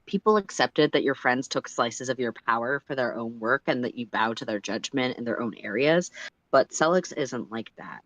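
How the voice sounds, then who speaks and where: A storyteller reading fiction aloud in an expressive narrating style. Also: medium pitch, moderate pace, no room sound, poor audio.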